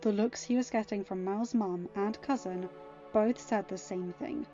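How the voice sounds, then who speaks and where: A woman reading scripted fiction as an expressive storyteller. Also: mid-range voice, unhurried. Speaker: fan fiction narrator. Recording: dull lo-fi audio. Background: faint music.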